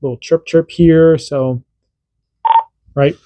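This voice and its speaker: A man's voice: a little high, steady tone, takes it slowly, dark tone, no echo. A man and parent, hosting a casual hands-on radio demo and explaining in an informal, rambling way that often restarts sentences. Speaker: radio hobbyist host.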